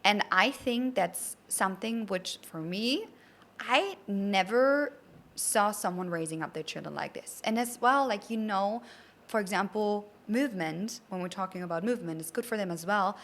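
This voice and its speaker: A woman's voice: medium pitch, lively intonation, moderate pace, a bit bright. A woman hosting a podcast, chatting casually and enthusiastically with an effusive, complimentary manner. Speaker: podcast host. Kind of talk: casual enthusiastic interview chat.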